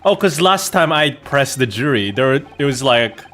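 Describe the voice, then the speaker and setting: A man's voice: mid-range voice, lively intonation, measured pace, a bit bright, slight background noise. A man giving casual let's play commentary as a gaming streamer, with a sardonic, sweary way of talking.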